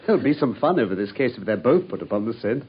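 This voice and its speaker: An actor playing a brisk, sharp-minded male consulting detective in a radio drama, speaking in a clipped, wry manner. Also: mid-range voice, big pitch swings, husky. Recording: dull old radio broadcast.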